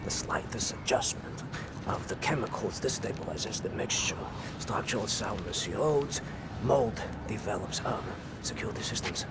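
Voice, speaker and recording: An actor playing a wry, learned prisoner inventor in a video game, musing and wordy, secretive and philosophical. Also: male voice, lowish voice, lively intonation, moderate pace, very noisy, close to an everyday voice. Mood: earnest, conspiratorial. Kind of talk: video game cutscene acting.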